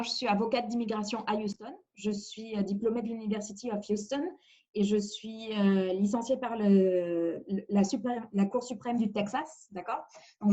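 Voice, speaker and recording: A woman's voice: medium pitch, mellow. French woman lawyer, lo-fi call audio. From France. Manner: careful, explanatory, many hesitations. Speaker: immigration lawyer. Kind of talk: webinar presentation. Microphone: video call.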